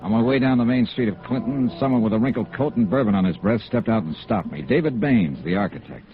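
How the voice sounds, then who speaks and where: An actor playing a tough, wry male insurance investigator, narrating his case in clipped, deadpan 1950s radio noir style. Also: on the low side, fast. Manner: clipped, deadpan, hard-boiled. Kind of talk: 1950s radio noir acting, scripted first-person narration. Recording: muffled vintage radio recording with music.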